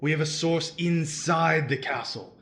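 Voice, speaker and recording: A man's voice: fairly high pitch, unhurried, fairly bright tone. A man playing a scheming, persuasive pony agitator in a fan radio drama, with rhetorical delivery.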